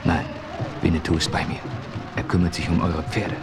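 Ein Mann spielt einen ruhigen, tiefstimmigen Westmann. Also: male voice, low-pitched, flat delivery, heavy background noise, close to an everyday voice. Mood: hushed. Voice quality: measured, composed delivery. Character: steady heroic male frontiersman, calm narrator. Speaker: first-person narrator and hero. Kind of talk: 1970s German radio play acting.

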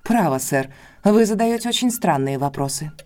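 A woman's voice: lowish voice, lively intonation, moderate pace, darkish tone, lightly acted. An actress playing a proud, guarded, defensive woman in an audio drama, speaking formally and curtly. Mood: indignant. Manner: formal, curt, indignant.

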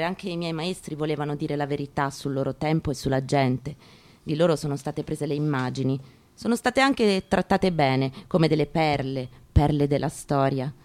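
A woman reading literary prose dramatically, in deliberate, emphatic short phrases. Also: deep voice, a little mellow. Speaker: stage actress reading from a book. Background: music behind the voice.

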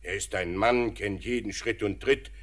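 An actor playing a calm, reassuring middle-aged father in a poetic radio drama, measured and gently probing. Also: on the low side, close to an everyday voice.

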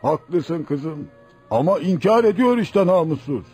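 An actor playing a kindly, fatherly elderly village uncle in a radio play, husky and concerned. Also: a little high, lively intonation, measured pace, a little mellow, soft background music. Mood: troubled, fatherly. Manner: fatherly, reluctant, sympathetic. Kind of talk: radio theater acting.

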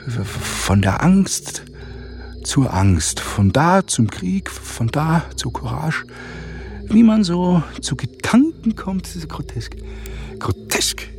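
A melancholy army captain, pompous and moralizing in a radio play. He speaks slowly with lively swings, often dropping into a brooding half-whisper, with music behind. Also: a little high, vocal fry, heavily acted. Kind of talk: theatrical German radio drama acting.

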